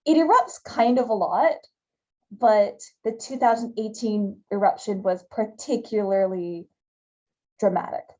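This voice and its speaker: A woman's voice: mid-range voice, a little slow, warm tone, low-quality recording. A woman from Hawaii giving a conversational talk over slides, with fillers and repeated words. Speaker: guest speaker giving a talk.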